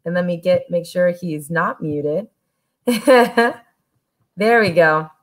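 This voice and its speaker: A woman with a slightly low voice hosts an online event. She talks casually and conversationally, introducing and prompting over a video call. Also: slow. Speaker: host.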